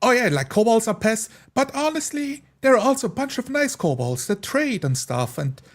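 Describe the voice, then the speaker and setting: A man's voice: extremely high pitch, sing-song, normal speed. A man talking casually and at length as a tabletop player, rambling emphatically and quoting imagined speakers. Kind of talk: casual podcast-style discussion.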